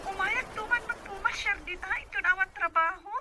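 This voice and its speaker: A woman's voice: very high-pitched, brisk, heavy background noise. A woman playing a chatty, easily impressed friend in an Ilocano radio drama.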